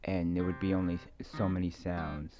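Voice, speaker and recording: A middle-aged man in an unscripted, casual ASMR ramble, halting and meandering, with many fillers, on a muffled home recording. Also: sits low, little pitch movement. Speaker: ASMR video maker. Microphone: microphone moved around beside a keyboard.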